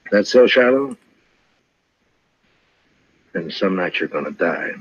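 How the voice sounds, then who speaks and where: Deep, weary frontier marshal. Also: male voice, animated, slow pace, breathy edge, full character voice. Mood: stern. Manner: dry, understated, world-weary. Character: frontier town marshal, wry, weary, laconic lawman. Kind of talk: 1950s radio western acting. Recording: muffled, echoey vintage radio recording.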